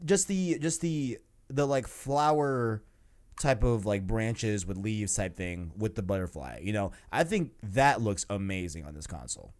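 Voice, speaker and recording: A man's voice: fairly low pitch, lively intonation, measured pace, darkish tone. A man chatting informally and ramblingly as host of a gaming podcast, with slangy asides. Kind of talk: casual gaming podcast chat.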